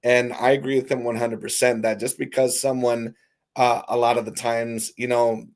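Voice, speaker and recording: A man with a hoarse, raspy voice, talking casually on a live stream as host, reading viewer comments and calling his viewers folks. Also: medium pitch, flat delivery, normal speed. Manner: chatty, curses casually, calls viewers folks.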